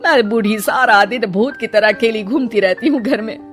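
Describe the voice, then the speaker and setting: A woman voicing a haughty, jealous, boastful elderly mother-in-law in an audio story, loud and emphatic. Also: on the high side, moderate pace, soft background music, close to an everyday voice. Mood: complaining.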